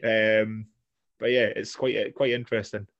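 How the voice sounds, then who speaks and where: A man's voice: lowish voice, level tone, moderate pace, gravelly, a bit bright, highs cut off. A Scottish man chatting casually, blunt and sweary, rambling with restarts. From Scotland. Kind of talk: casual chat.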